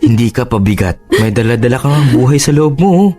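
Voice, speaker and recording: Protective young husband played by a man, average-pitched, apologetic and caring, radio-drama acting over faint music. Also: expressive, fairly quick, dark tone, natural voice. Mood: tender reassurance. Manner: tender, earnest.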